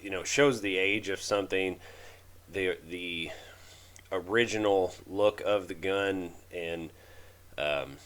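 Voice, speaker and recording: A deep-voiced man in his thirties talks over his own workshop footage. His voice is rough and hoarse from a cold, and he stumbles, restarts and fills pauses as he explains his work. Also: a bit bright. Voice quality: raspy. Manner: halting, many fillers and restarts. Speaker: DIY channel host. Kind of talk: casual voice-over narration of a restoration video.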